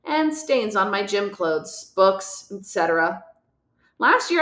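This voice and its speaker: A woman reading a novel aloud in an expressive, dramatic, teacherly way, as host of a read-aloud. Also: medium pitch. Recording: roomy, echoey room sound.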